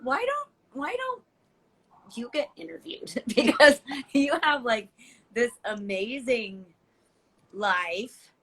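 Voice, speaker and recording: Bright, effusive woman. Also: a little high, big pitch swings, takes it slowly, pauses often. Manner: effusive, giggly, uses "like" often. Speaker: guest interviewer. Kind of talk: casual chat.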